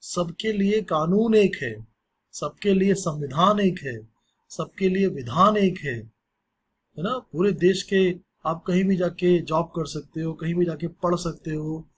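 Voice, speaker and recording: A male teacher explaining a social studies lesson in a relaxed, conversational way, mixing in English phrases. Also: high voice, takes it slowly, slightly rough.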